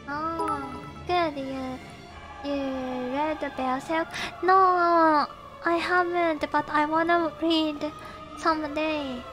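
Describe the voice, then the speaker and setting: Female game streamer, Japanese accent, very high voice, slow polite casual chat with viewers. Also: rough recording. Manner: polite, thanks and greets viewers often. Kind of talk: casual livestream chat while gaming.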